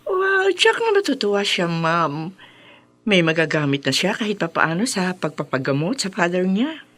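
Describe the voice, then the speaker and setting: An actress playing a persuasive, obliging recruiter loyal to her boss in a radio drama, coaxing smoothly and mixing in English. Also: on the low side, sing-song, moderate pace, a bit bright, no room sound. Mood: obliging, conspiratorial.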